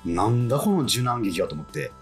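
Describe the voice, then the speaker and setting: Man, radio interviewer, casual chat, animated melody, reactive interjections, soft music behind. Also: mid-range voice, brisk. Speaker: radio show host, interviewer.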